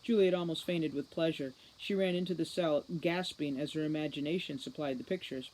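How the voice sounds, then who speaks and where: A woman's voice: low voice, fairly quick, darkish tone, low-quality recording. A woman reading scripted storybook narration as an audiobook reader.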